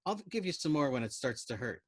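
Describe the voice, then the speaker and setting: A man's voice: mid-range voice, very animated, fairly quick, slightly rough, pitched above the performer's own voice. A man reading an audiobook, voicing a good-humored airman who is tense but reassuring. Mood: relieved, reassuring. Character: good-humored, friendly airman tending a wounded comrade. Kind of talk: acted audiobook dialogue.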